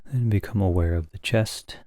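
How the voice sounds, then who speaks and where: A man leading a guided meditation as a teacher, speaking calmly and gently in short phrases with long pauses. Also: low-pitched, no echo, studio quality.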